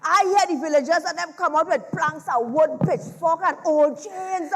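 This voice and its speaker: A woman's voice: sits high, normal speed. A woman playing a jealous, teasing old river serpent spirit on stage, melodramatic, with a Trinidadian accent. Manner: expressive, melodramatic. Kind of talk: theatrical stage dialogue. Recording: echoey theatre hall, some background noise.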